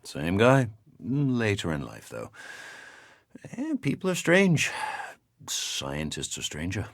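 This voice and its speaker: An actor playing a thoughtful male researcher who reads and discusses ideas in a measured, articulate, reflective way. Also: fairly low pitch, wildly swooping pitch, no echo, clean studio sound, exaggerated voice. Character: thoughtful male researcher reading quotations aloud and discussing them. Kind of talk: audio drama reading and conversation.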